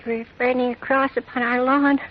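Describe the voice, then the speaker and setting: A woman playing a small, gentle, doleful creature who groans in pain and speaks in rhyme in an old radio drama. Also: high voice, little pitch movement, fairly quick, natural voice. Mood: frightened, doleful. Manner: speaks in rhyme, woeful and plaintive. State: in pain, weak. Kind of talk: 1940s radio acting. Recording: dull noisy old radio recording.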